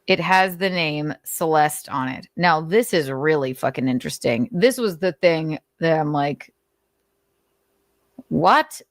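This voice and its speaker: A woman's voice: low-pitched, animated, unhurried, no room sound. A woman host reading notes aloud and adding blunt, sarcastic commentary, swearing freely. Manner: sarcastic, mocking.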